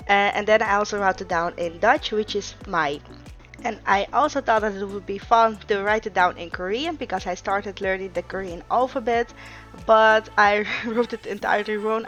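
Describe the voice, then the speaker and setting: A young woman whose first language is Russian, chatting casually through a plan-with-me video, with frequent ums and uhs. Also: mid-range voice. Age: young adult. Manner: relaxed, chatty, many filler ums and uhs. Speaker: vlogger.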